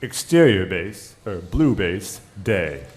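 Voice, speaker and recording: A man's voice: sits low, very animated, takes it slowly. A man reading stage directions as narrator of a scripted comedy table read. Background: music.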